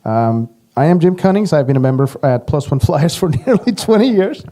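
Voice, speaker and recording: A man's voice: on the high side, sing-song, a little fast, mellow. A man reading a prepared candidate statement aloud on someone else's behalf.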